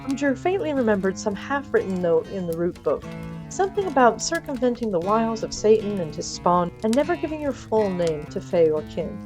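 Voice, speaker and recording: Casting: female storyteller for comic weird fiction, wry and dry, medium pitch, read over a light music bed. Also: big pitch swings, brisk. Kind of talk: scripted comedic fiction narration.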